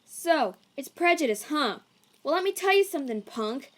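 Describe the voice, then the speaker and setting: Woman voicing a high, fiery monster brawler. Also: expressive, moderate pace. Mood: indignant. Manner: brash, slangy, confrontational. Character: fiery, combative, protective monster. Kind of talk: animated comic dub voice acting.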